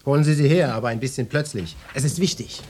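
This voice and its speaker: An actor playing a brusque, swaggering male police inspector in a radio drama, gruff and bossy as he questions people. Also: mid-range voice, quick pace, darkish tone, slight background noise, natural voice. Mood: bullying.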